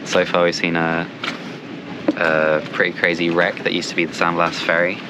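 A man's voice: moderate pace, background noise. A young man talking easily to the camera on a sailboat deck outdoors. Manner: low, flat, easygoing talk with many likes. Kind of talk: casual vlog to camera.

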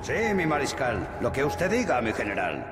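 A wisecracking soldier clown. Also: male voice, medium pitch, huge pitch swings, moderate pace. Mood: teasing. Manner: wisecracking, mock-respectful. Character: joking male soldier, cheeky squad clown. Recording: echoey game audio with music.

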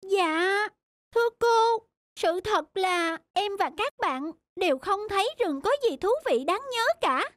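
A woman's voice: very high-pitched, expressive, a little slow, a bit bright, no echo, clean studio sound, heavily acted. A woman voicing a bored, grumbling young schoolchild in a cartoon, whiny and plain-spoken. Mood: sheepish. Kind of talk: animated cartoon dubbing.

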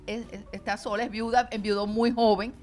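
A woman's voice: mid-range voice, level tone, brisk, darkish tone, soft background music. An older woman from the Dominican Republic, a guest chatting casually on a TV set into a handheld mic, with many fillers and restarts. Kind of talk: casual TV chat. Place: TV studio set.

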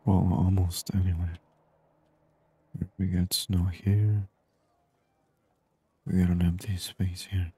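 A man speaks in a low, breathy hush, softly commenting on a game as if thinking aloud. His pace is slow and unhurried. The sound is intimate and dry, close on the microphone in ASMR style. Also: level tone, no room sound. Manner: hushed, murmuring, thinking aloud. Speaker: gaming video host. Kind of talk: soft-spoken ASMR gaming commentary. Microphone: close binaural microphone.

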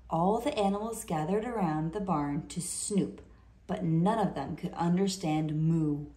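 A woman reading a children's picture book aloud in an expressive, deliberate storytelling manner. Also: lowish voice. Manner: slow, deliberate, animated delivery for children. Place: indoor room. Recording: roomy indoor echo.

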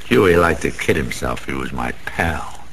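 A man's voice: very deep voice, expressive, unhurried, a bit bright, full character voice. An actor playing a bitter, sentimental, down-on-his-luck American small-time gambler in a radio drama, talking in rambling, world-weary slang. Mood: wistful boasting. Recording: faint background noise.

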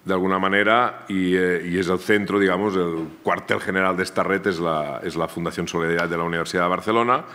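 A man with a Catalan accent and a very low voice lectures at a seminar table in a university room. He speaks loosely, often stopping to repeat a word or restart a phrase. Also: steady tone, fast, hardly any pauses, bright, forceful tone. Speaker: academic speaker. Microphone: table microphone, far camera.

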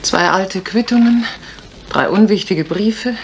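An actress playing a witty, theatrical wife turned sleuth in a German radio mystery, arch, playful and sure of herself. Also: female voice, medium pitch, takes it slowly, vocal fry. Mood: playful, inquisitive. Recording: faint noise, retro radio play.

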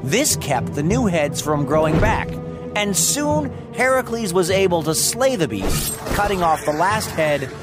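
A man tells a children's tale in a fairly high voice that swings widely up and down. He is playful and wry, leaning into dramatic emphasis, with music clearly playing behind him. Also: big pitch swings, a little slow. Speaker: podcast narrator and host. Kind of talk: animated storytelling narration of Greek myths for children.

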